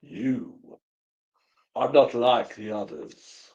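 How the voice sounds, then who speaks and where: Brooding male ghost, played by a man, formal and menacing, slow swooping delivery, dull lo-fi sound, romance drama. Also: medium pitch, lively intonation, dark tone, husky, exaggerated voice. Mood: cold, brooding. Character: brooding, proud male Regency ghost, darkly amused seducer. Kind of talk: dramatic live reading of paranormal romance.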